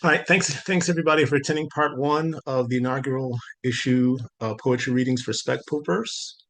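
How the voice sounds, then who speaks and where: A man's voice: mid-range voice, fairly even intonation, brisk, fairly bright tone. A man hosting a poetry reading, making announcements and introducing poets.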